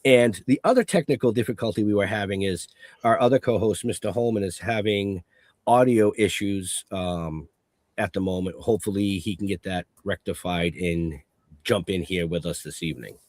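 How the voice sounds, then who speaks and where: A man's voice: sits low, normal speed, a little mellow, no room sound, clean studio sound. A man hosting a casual online talk show, opening with hype and laughing often between lines. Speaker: podcast host. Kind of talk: casual chat.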